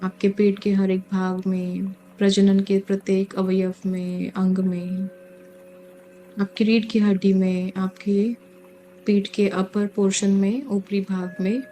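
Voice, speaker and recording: A woman leading a guided meditation as a teacher, speaking calmly in short, measured phrases with pauses. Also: mid-range voice, a little hiss or hum. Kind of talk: guided meditation, slow soothing instruction. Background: music.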